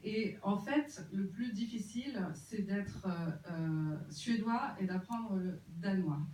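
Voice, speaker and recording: An interpreter translating a film Q&A, halting and full of fillers, recorded from afar in a cinema. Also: mid-range voice, darkish tone, slight background noise. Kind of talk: consecutive interpreting. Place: cinema auditorium. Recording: muffled, echoey hall from far off.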